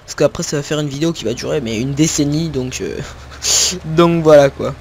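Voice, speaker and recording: A man's voice: a little high, moderate pace, darkish tone, slight background noise. Rambling young French man narrating his gameplay. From northern France. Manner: rambling, many fillers and self-corrections, talks to viewers while playing. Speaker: gaming video creator. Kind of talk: casual gameplay commentary. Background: faint game sounds of tractors and farm ambience.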